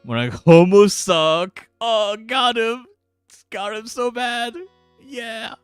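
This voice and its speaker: A man's voice: sits high, slow pace, clear, resonant tone, no room sound. A man co-hosting a livestream in loose, casual chat. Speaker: livestream co-host. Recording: dry voice with music behind it.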